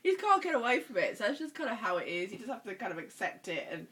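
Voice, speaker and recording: A woman vlogging in a chatty, animated way, her voice stuffy and congested from a cold. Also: a little high, quick pace. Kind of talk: casual chat.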